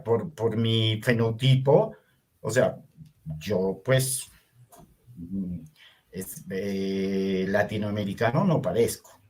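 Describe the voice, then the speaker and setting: An older man from Venezuela, whose was Hungarian, telling his story in an interview in a reflective, unhurried way with many fillers. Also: mid-range voice, a little mellow. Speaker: artist guest. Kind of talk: conversational interview.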